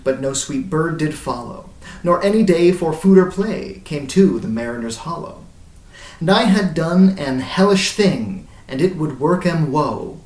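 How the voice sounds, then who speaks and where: A man's voice: fairly high pitch, darkish tone. A man voicing a haunted, grey-bearded old sailor who recounts his voyage in dramatic, incantatory verse that swells and falls away. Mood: remorseful. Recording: roomy echo, distant mic.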